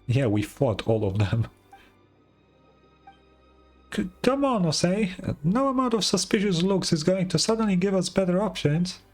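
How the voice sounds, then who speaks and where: A man voicing a small, excitable video game companion with a whiny, curious, exclamatory manner. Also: high voice, normal speed, pauses often, soft background music, voice pushed up. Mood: pleading, whiny. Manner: exclamatory, stammering.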